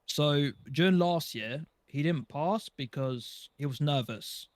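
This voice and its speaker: A man's voice: on the high side, slow, no room sound. Man chatting casually, dry close sound. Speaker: podcast panelist. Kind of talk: casual chat.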